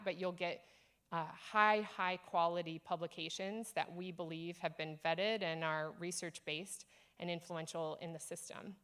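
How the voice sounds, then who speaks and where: A woman's voice: lowish voice, fairly bright tone, clear, resonant tone. A woman speaking from a conference stage, earnest and encouraging as she presents leadership ideas to an audience. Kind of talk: conference keynote talk.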